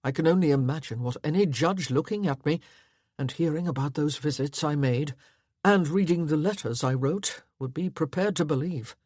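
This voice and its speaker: A woman voicing a blunt, war-hardened male army officer in a storybook reading, clipped and forceful. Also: deep voice, moderate pace, dry recording, studio quality. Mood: stern.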